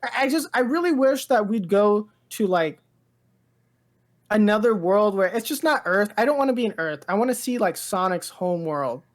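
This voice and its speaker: A woman's voice: mid-range voice, animated, normal speed. A woman chatting casually as a podcast co-host, enthusiastic and rambling, peppering her talk with "like" and "dude". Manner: rambling, heavy use of "like" and "dude"; enthusiastic, gushing. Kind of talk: casual pop-culture chat.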